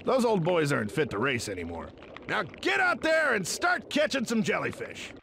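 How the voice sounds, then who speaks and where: A man voicing an excitable, folksy old bait shop owner in a cartoon video game. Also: high voice, normal speed, exaggerated voice. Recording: clear background noise.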